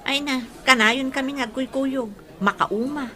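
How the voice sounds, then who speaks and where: A woman's voice: sits high, a little slow, pushed and bright. An actress playing a bored, blunt young woman in a radio comedy drama, whiny and exasperated, with an Ilocano Filipino accent. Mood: sulky, exasperated. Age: young adult. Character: bored young woman, blunt, sulky with her mother and boyfriend.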